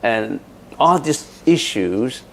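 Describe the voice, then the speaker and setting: Chatty monk with frequent restarts. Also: male voice, mid-range voice, lively intonation, drawn-out words, a bit bright. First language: Chinese. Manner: chatty, repeats words and restarts, laughs often. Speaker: Buddhist monk answering questions. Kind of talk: Dharma question-and-answer talk.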